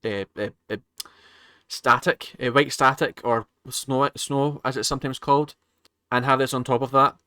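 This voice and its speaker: A man hosts a casual reaction video in a bright, forceful voice. He talks haltingly, rambling and doubling back on his own phrases. The sound is dry, with hardly any room in it. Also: medium pitch, fairly even intonation, smooth, no echo. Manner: halting, rambling, circles back to repeat himself. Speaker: reaction channel host.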